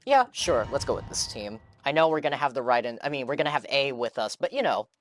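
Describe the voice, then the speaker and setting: A man's voice: mid-range voice, big pitch swings, fast talker, slight background noise. A young man giving casual live commentary while streaming a game and chatting with viewers. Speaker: game livestreamer. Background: video game music.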